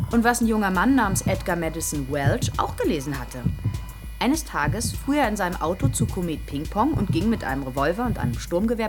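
Woman acting know-it-all gossipy lady in a radio drama, earnest, animated, music behind her. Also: mid-range voice, close to an everyday voice. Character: gossipy, well-informed woman waiting in emergency room. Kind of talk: German radio play acting.

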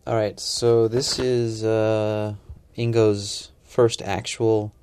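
A man with a gravelly, low voice speaks slowly and casually. His tone is dark and mellow, and faint clicking noise sits in the background. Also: level tone. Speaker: podcast host reading a letter. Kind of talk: casual spoken aside.

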